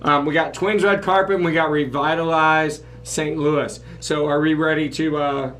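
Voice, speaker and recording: A man hosting a podcast in a casual, conversational way, his voice hoarse as if he is losing it. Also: a little high, fairly even intonation, moderate pace, fairly bright tone, faint music behind. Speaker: podcast host. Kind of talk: casual talk, sponsor thanks.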